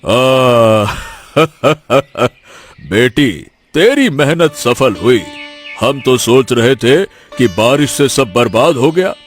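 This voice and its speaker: A man's voice: medium pitch, expressive, drawn-out words, faint music behind. A man voicing a kindly village uncle in a cartoon fairy tale. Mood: delighted. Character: kindly village uncle, delighted with his niece.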